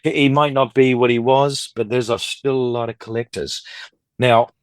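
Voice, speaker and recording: A middle-aged man in a relaxed interview conversation, with a medium-pitched voice in a close, dry recording. He rambles in a talkative way, telling stories and quoting people as he goes. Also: moderate pace, no room sound. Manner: talkative, rambling, anecdotal, quotes others' speech. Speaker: podcast guest, record collector and dealer. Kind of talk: casual interview chat.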